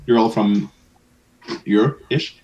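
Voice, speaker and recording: Lowish-voiced man. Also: slow pace, a little mellow, dull audio, slight background noise. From Quebec, Canada. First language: French. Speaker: player. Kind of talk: casual chat between players.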